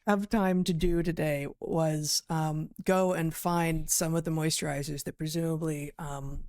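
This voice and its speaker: A woman's voice: deep voice, steady tone, measured pace, a little mellow, no echo, clean studio sound. A woman co-hosting a podcast, talking through an article conversationally, correcting herself and leaning on key words. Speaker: podcast co-host, biologist.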